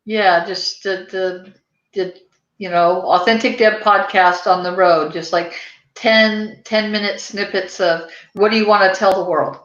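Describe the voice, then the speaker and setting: An American woman hosts a casual podcast with a chatty, rambling delivery. Her voice is bright and forward. The recording is echoey and slightly dull, like a lo-fi room pickup. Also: medium pitch, steady tone, a little slow. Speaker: podcast host. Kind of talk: casual chat. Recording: roomy echo, dull lo-fi sound.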